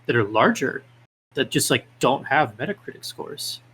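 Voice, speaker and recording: A man's voice: mid-range voice, animated, normal speed, a bit bright, slightly rough, low-quality recording. A man being interviewed as a guest, talking casually with hesitant phrasing and lots of filler words. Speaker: podcast guest, independent game developer.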